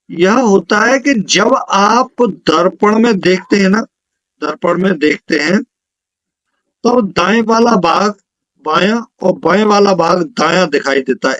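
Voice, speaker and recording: High-pitched man teaching. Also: fairly bright tone, dry recording, low-quality recording. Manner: instructive, repeats questions and answers. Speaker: physics teacher for exam preparation. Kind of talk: exam question-and-answer lesson.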